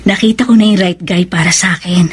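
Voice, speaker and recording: A woman's voice: on the low side, steady tone, fast, breathy edge. A woman playing a sweet, coy middle-aged woman newly in love in a radio drama, with a Filipino accent. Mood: tender, content. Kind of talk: Tagalog romantic radio drama acting. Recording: faintly noisy radio drama track.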